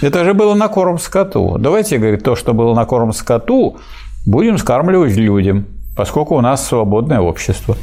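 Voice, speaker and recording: A man in a casual discussion, talking animatedly, with sharp pitch jumps for emphasis and mocking quotes of others. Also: very animated, a little fast.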